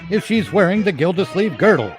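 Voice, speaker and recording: High-voiced, animated man riffing casually. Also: brisk, over music. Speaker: co-host joking over an old radio show.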